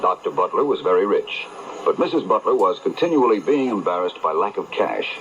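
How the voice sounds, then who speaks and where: Self-assured detective, vintage radio mystery, urbane, expository, lowish lively voice. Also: male voice, a little fast. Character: shrewd, self-assured gentleman detective explaining a case. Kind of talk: old-time radio mystery acting. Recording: dull, hissy AM radio reception.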